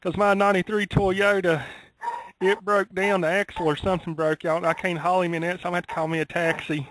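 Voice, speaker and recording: A man voicing a naive, worried rural Southern US caller who rambles in a folksy, slow-witted way on a prank phone call. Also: high voice, little pitch movement, fairly bright tone, slight background noise. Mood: earnest, flustered.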